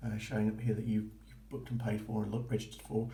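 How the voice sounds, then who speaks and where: A man's voice: lowish voice, completely flat delivery, quick pace, warm tone. A man giving a casual, informal, approving walkthrough as a vlogger. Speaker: vlogger documenting a boating course. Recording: roomy echo with faint noise.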